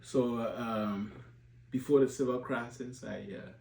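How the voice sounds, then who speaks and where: A man, addressing his organization's supporters in an unscripted talk, pausing often with filler sounds and restarts. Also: mid-range voice, fairly even intonation, creaky, a little mellow. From Liberia. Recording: roomy echo, faint background hum.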